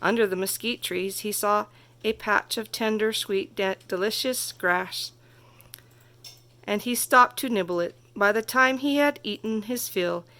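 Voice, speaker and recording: A woman reading a children's story aloud as narrator, expressive and carefully phrased. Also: medium pitch, a little slow. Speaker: storybook reader.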